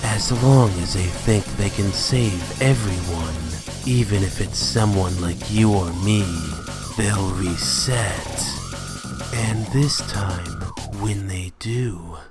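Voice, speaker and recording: A man voicing a spiteful, sneering talking flower villain in a comic dub, with mocking, drawn-out taunts. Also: on the low side. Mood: smug menace. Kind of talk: fan comic dub acting. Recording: loud music under the voice. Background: loud music.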